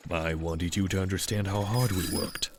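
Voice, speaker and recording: A man's voice: low voice, steady tone, moderate pace, background noise. A man voicing a serious young male chameleon detective who talks in a clipped, formal, dry way. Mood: stern.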